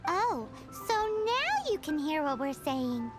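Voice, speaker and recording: An extremely high, squeaky voice for a tiny game sprite. It is cheeky and scolding, swooping widely at an unhurried pace, with loud music behind. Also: female voice, wildly swooping pitch, full character voice. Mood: indignant. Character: tiny childlike floating companion sprite, cheeky and indignant.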